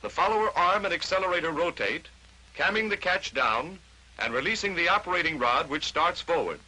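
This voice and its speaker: A man's voice: fairly high pitch, lively intonation, a little fast, bright, forceful tone, rough recording. An American man narrating a 1940s instructional training film, explaining step by step in short declarative statements on a vintage film soundtrack.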